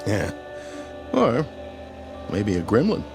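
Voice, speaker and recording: An actor playing a steady airline captain in a radio drama, with wry, easygoing authority. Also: male voice, lowish voice, slow, vocal fry, music in the background, exaggerated voice. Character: steady, dryly joking male airline captain. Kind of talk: vintage-style radio drama acting.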